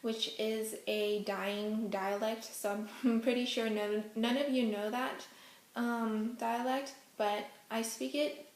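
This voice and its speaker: A woman's voice: a little high, fairly even intonation, takes it slowly, roomy sound. A young woman whose first language is Hokkien, chatting casually through a Q&A vlog at home with lots of ums. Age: young adult. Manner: chatty, many ums, giggly asides. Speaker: vlogger. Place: indoors at home, face out of frame.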